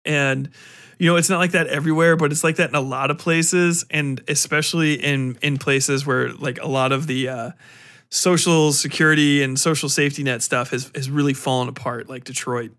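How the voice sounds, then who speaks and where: A man hosting a podcast, giving a casual, ranting review full of swearing and sarcastic, animated retelling. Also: on the high side, normal speed. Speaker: podcast host.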